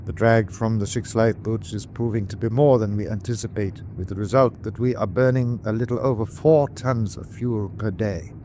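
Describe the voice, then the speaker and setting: An actor playing a weary, dutiful German submarine captain in a wartime radio drama, speaking in a formal, measured way. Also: male voice, fairly low pitch, fairly even intonation, dark tone, a little hiss or hum, natural voice. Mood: sober, reporting.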